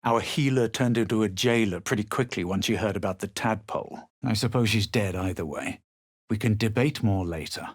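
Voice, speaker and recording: A man playing a self-assured, haughty fantasy adventurer in a video game, speaking in a measured, composed, faintly superior way. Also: fairly low pitch, no room sound, clean studio sound, close to an everyday voice. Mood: cool, measured. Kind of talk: video game character lines, scripted.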